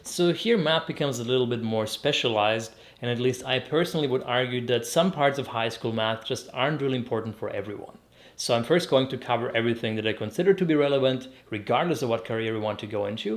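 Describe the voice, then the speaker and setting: A young man from Croatia, hosting an educational video, explains math step by step in clear, methodical scripted narration with a light joking touch. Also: mid-range voice, quick pace.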